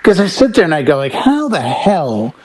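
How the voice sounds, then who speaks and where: An elderly man in casual panel chat, telling anecdotes in an animated way and acting out bits of conversation. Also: fairly high pitch, normal speed, husky. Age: 80s. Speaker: DJ and panel member.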